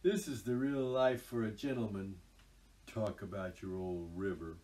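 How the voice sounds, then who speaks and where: A pompous gentleman toad, as a storyteller puts him on, high-pitched and hearty. He booms out his words with smug self-satisfaction. The voice sits a little back from the microphone. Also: steady tone, a little slow, distant mic, a little hiss or hum, close to an everyday voice. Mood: sleepy, boastful. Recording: voice a little off-mic.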